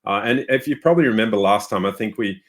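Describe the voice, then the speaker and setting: An Australian man with a low voice, speaking as a developer guest on a webinar. He talks in a relaxed, rambling way and often restarts his sentences. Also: fast. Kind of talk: casual tech chat.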